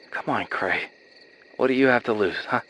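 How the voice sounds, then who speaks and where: A man playing an anxious, earnest young soldier in an animated horror drama, speaking in a halting, confiding way. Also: mid-range voice, rough recording. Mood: gentle, probing. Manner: hesitant, halting confessions with sighs. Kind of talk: naturalistic dramatic dialogue in an animated horror series.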